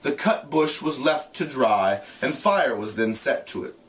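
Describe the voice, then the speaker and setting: A man's voice: mid-range voice, animated, a little slow, fairly bright tone, recorded from a distance, slight background noise. A man who sounds like a teacher, reading a novel aloud and explaining it in plain, casual terms. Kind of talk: read-aloud narration with casual explanatory asides.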